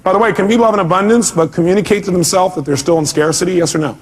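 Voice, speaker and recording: A man's voice: sits high, very fast. A man speaking as a motivational seminar speaker, emphatic, putting rhetorical questions to his audience and often answering them himself.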